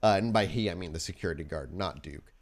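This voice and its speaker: A man's voice: low voice, fast talker. A man improvising casual narration as the game master of a tabletop role-playing game.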